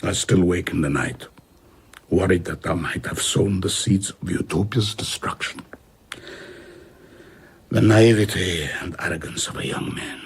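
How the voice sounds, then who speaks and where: An actor playing a haunted, wistful older former traveller, speaking in a husky voice and reflective, earnest manner in an audio drama. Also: male voice, low-pitched, unhurried, pauses often, full character voice. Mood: regretful. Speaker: narrator and central character. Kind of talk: audio drama narration and dialogue.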